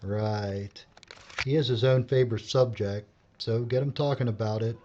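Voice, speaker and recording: An actor playing a laid-back, practical film crew technician in an audio drama, dry and matter-of-fact. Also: male voice, mid-range voice, fairly even intonation, normal speed, dark tone, close to an everyday voice. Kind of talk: scripted audio drama acting. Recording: dull lo-fi sound, faint noise.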